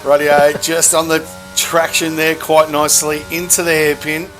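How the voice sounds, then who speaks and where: A man's voice: fairly high pitch, little pitch movement, fairly bright tone, faint music behind. Cheeky man calling a sim race. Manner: brisk, cheeky and matter-of-fact. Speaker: commentator. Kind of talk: sim racing lap commentary.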